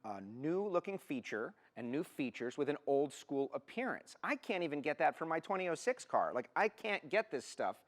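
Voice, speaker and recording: A man from Miami co-hosting a car show, talking casually and colloquially with clear opinions about products. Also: fairly high pitch, very animated, normal speed, few pauses. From Miami, United States.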